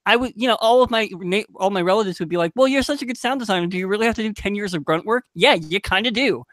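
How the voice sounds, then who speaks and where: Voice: mid-range voice, fast, fairly bright tone, dry recording. A young adult podcast panelist speaking casually, frankly and emphatically in a group discussion.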